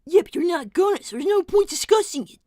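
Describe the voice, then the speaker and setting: A woman's voice: extremely high pitch, lively intonation, quick pace, mellow, no room sound. A woman voicing an exasperated young fox inventor in a casual, argumentative improvised livestream roleplay. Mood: defensive, exasperated.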